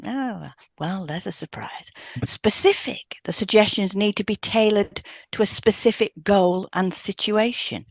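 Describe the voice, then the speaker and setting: A woman's voice: medium pitch, a little slow, no echo, studio quality. A woman co-hosting an educational podcast, reading scripted teaching points. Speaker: podcast co-host, hypnotherapist.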